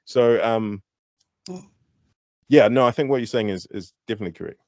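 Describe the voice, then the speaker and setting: Animated Kiwi man on a dry, dull call. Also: on the low side, moderate pace, dry recording. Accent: New Zealand. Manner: animated, thinks aloud with many restarts. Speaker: guest, hip-hop artist. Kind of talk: candid interview talk. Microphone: online call.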